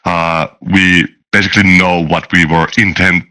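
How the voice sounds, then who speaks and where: Man, very deep pitch, forceful bright tone, halting delivery, game master explaining in an interview. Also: little pitch movement. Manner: hesitant, halting delivery with searching pauses. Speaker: game master and co-designer of a tabletop RPG. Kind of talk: interview talk about game design.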